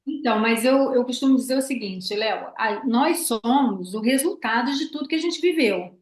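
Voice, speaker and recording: A woman's voice: fairly high pitch, expressive, normal speed, echoey room, low-quality recording. An older woman from Brazil with a Rio de Janeiro accent, a podcast guest telling nostalgic stories in casual chat. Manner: warm storytelling, nostalgic.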